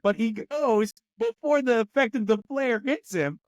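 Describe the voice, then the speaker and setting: A man's voice: extremely high pitch, unhurried, dry recording, studio quality. A man co-hosting a movie review podcast, rambling with lots of fillers and laughing often in casual chat. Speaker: podcast co-host.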